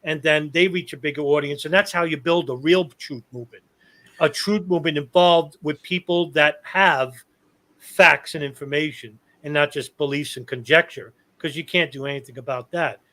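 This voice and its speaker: A man's voice: on the high side, normal speed, bright, forceful tone. A man in his fifties speaking as a guest, casual but earnest and emphatic about his opinions. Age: 50s. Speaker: talk show guest and online activist.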